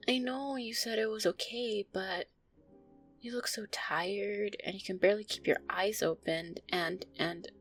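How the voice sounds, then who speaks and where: A woman playing a gentle, anxious, affectionate vampire girlfriend in an intimate roleplay audio, speaking softly, tenderly and hesitantly. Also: medium pitch, a little slow, faint music behind, close to an everyday voice. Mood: tender concern. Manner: soft, tender, hesitant, sighing delivery.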